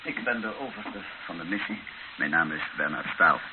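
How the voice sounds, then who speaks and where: An actor playing an earnest, patient priest who heads a mission in a radio drama, gravelly, measured and gently insistent. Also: male voice, deep voice, lively intonation. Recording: noisy, narrow-band old radio recording.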